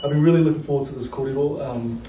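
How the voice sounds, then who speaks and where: A man's voice: mid-range voice, fairly even intonation, very fast, dark tone, distant mic, a little hiss or hum. Laid-back New Zealand man hosting, roomy sound. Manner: laid-back, joking, many fillers, mixes in Māori words. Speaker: podcast host. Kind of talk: casual interview.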